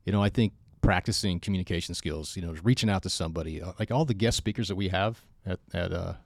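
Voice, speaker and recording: Very deep-voiced male podcast host. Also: fast talker, no echo, studio quality. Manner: relaxed, storytelling, self-deprecating. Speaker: podcast host and fitness school instructor. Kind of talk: casual podcast conversation.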